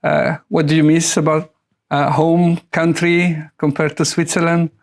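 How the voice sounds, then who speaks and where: A man's voice: fairly high pitch, flat delivery, a little slow. A middle-aged man interviewing a guest in a conversational style, searching for his words with a hesitant delivery.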